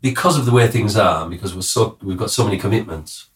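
A man's voice: on the low side, fast talker, far from the mic. A man from the north of England with a Cumbrian accent, a musician guest telling stories in a casual interview, rambling with many fillers and jokes. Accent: Cumbrian English.

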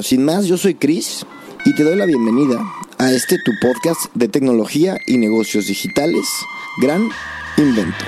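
A man hosting a podcast, introducing and interviewing in an informal, enthusiastic way. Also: medium pitch. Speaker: podcast host. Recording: music behind the voice.

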